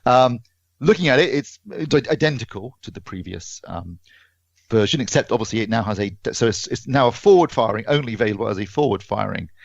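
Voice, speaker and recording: A man's voice: medium pitch, creaky, no room sound, muffled recording. A British man co-hosting a podcast, talking through a product review casually and correcting himself as he explains.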